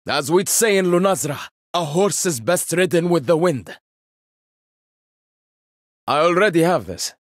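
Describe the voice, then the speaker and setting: An actor voicing a proud, witty young male inventor-warrior in a video game, speaking in measured, confident lines with dry wit. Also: on the high side, expressive, dry recording. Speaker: game character.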